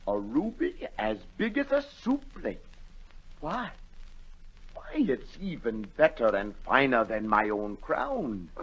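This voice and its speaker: An excitable, pompous storybook king in a 1940s radio drama. The voice is rough-edged and leaps dramatically up and down, imperious and theatrical. The broadcast is dull and lo-fi. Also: medium pitch, slow, exaggerated voice. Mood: amazed. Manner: theatrical, imperious, swinging from hushed awe to outbursts.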